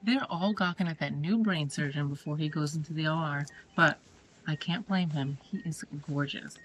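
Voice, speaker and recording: An actress playing a friendly, teasing nurse in an audio drama. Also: female voice, low voice, normal speed, fairly bright tone, a little hiss or hum, rough recording. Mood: gossipy. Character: friendly, teasing woman nurse fond of her patients.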